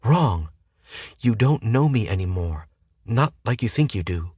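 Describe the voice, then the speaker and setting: A man voicing a sharp-tongued, sarcastic young woman with a mocking way of talking. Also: lowish voice, sing-song, measured pace, slightly rough, no echo, voice pushed up, exaggerated voice. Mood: sassy. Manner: sassy, mocking.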